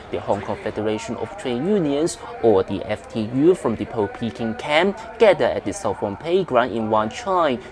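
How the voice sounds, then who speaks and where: Male news correspondent, scripted report delivery, animated intonation, mid pitch, slight outdoor city noise.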